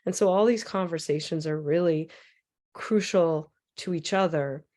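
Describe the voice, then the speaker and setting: A woman with a raspy voice, hoarse from a cold, giving a loose, rambling presentation with many fillers over a computer mic on a video call. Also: fairly low pitch, measured pace, a little mellow. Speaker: transit equity advocate presenter.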